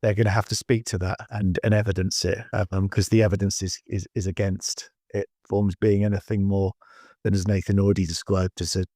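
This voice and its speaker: A man's voice: low-pitched, flat delivery, a little fast, mellow, dry recording, studio quality. A man who speaks firmly and argumentatively in a discussion. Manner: firm and argumentative.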